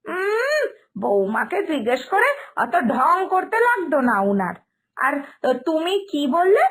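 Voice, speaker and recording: A woman's voice: very high voice, very animated, measured pace, fairly bright tone, heavily acted. A woman voicing a fussy, scornful middle-aged mother-in-law in a cartoon drama, nagging emphatically with a West Bengal accent.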